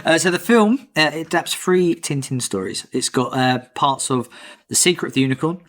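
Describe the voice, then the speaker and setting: A man's voice: fairly high pitch, animated, normal speed, a bit bright. A man hosting a casual podcast, reading out trivia with a teasing, jokey manner. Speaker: podcast host.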